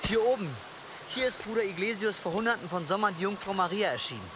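An actor in a German radio drama playing a gentle, devout monk with a halting, pious manner. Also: male voice, sits high, lively intonation, noisy background. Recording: clear outdoor ambience behind.

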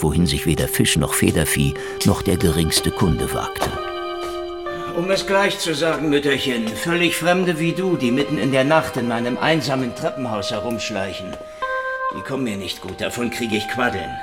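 An actor playing a wisecracking, hard-drinking, down-at-heel Soviet private detective with sardonic deadpan delivery in a German radio crime drama. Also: male voice, deep voice. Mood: wry, gloomy narration, then gruff, wary.

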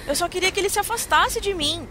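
A woman's voice: a little high, moderate pace, a bit bright, natural voice. An actress playing a tired, fearful woman, narrating a horror audio drama in an apprehensive, hesitant, dramatic manner. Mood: panicked. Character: tired, fearful woman recounting a nighttime attack. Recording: noisy urban street ambience with traffic.

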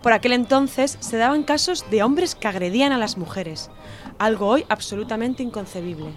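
Lady doing a bossy confident mom, kinda high voice, lectures. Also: expressive, normal speed, soft background music, natural voice. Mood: lecturing. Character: confident authoritative middle-aged mother. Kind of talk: amateur audio drama.

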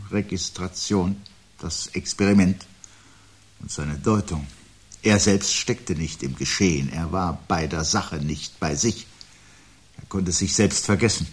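A man acting a weary, resigned intellectual husband in a 1970s radio play, speaking in a measured, reflective way with a husky voice. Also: sits low. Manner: measured, reflective, pedantic phrasing.

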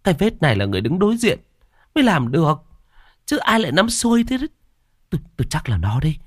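A man's voice: fairly high pitch, huge pitch swings, fast, no echo, clean studio sound, voice pushed up. A man doing a stammering frightened old woman. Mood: insistent frightened. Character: terrified older village woman, stammering.

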